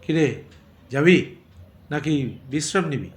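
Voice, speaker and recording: A man's voice: a little high, lively intonation, slow. A man playing a curious young adult son in an audio drama, casual and inquisitive. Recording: roomy echo with faint noise.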